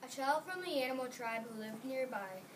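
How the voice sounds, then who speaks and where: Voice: high voice, animated, brisk. A child of about nine or ten reading scripted narration for a school readers theater. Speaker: student narrator. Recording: noisy room, distant microphone.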